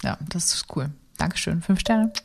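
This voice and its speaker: Playful woman cohost, kinda low voice, super enthusiastic. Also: animated, moderate pace, creaky, noisy background. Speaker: podcast co-host. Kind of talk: casual chat.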